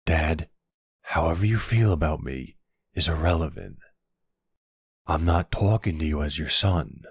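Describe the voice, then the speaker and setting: A man playing a protective mobster boyfriend in an ASMR roleplay audio drama, tender but dangerous, with a gravelly, husky voice. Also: a bass voice, lively intonation, a little slow, heavily acted. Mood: cold, menacing.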